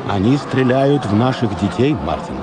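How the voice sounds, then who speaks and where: An actor playing a grave, idealistic anti-war protester in a radio drama, speaking in a measured, earnest way. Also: male voice, medium pitch, animated, lightly acted. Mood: grave, resolute. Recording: noisy outdoor street crowd behind.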